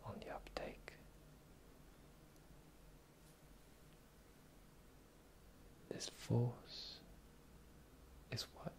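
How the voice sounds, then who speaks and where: A performer voicing a sly, teasing pirate captain in a slow, breathy, whispered fantasy ASMR roleplay. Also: mid-range voice. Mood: mysterious, musing. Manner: teasing, slow, drawling, theatrical.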